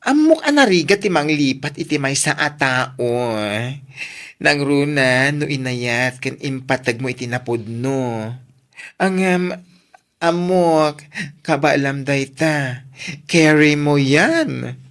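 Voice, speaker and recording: A man's voice: a little high, expressive, slow pace, a bit bright, heavily acted. A man playing a sassy, blunt young best friend with an Ilocano accent, mixing in English slang in an audio drama. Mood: urging, supportive. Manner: peppers speech with English slang and "day".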